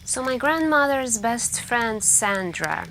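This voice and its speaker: A soft-spoken woman telling scary stories in an ASMR style, hushed and intimate with pauses and drifts into whispering. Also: on the high side. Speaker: ASMR roleplay performer playing a friend telling campfire stories. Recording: steady background ambience noise. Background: crackling campfire and night ambience.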